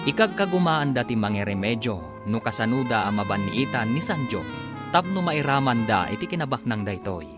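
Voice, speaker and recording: A man's voice: medium pitch, sing-song, moderate pace, over music. A man announcing an Ilocano radio drama with dramatic scripted narration. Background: loud musical theme.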